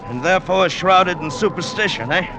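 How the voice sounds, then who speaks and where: An actor playing a curious, skeptical climber in an old radio drama, asking questions and joking lightly. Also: male voice, high voice, moderate pace, background noise, lightly acted. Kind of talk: 1940s radio acting.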